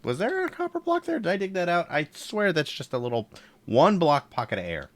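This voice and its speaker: A man with a low, slightly congested voice chatting casually while playing a game on stream and thinking aloud. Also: wildly swooping pitch, a little fast, slight background noise. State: congested, throat tickle. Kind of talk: casual live gameplay commentary.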